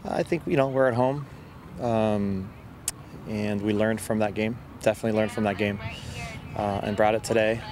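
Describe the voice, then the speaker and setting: A middle-aged man speaks as a coach in a post-match interview. His voice is low and flat, and he feels his way through sentences in a measured, halting manner. Clear outdoor noise sits behind him. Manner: halting, measured delivery with repeated words. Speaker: soccer head coach being interviewed. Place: outdoors on a soccer field. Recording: outdoor field recording with loud ambient noise.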